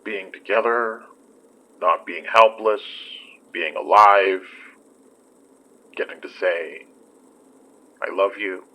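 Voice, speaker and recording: Audio drama role: male actor playing steadying, tender man, very slow delivery, deep-leaning voice, naturalistic. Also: muffled recording. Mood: gentle, loving. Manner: soothing, patient, tender. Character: gentle, steadying man comforting his beloved.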